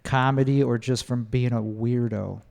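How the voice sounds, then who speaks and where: A young man hosting a casual podcast chat, talking in an awkward, halting way with many restarts. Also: medium pitch, steady tone, creaky, darkish tone, long reverb tail. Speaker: podcast host.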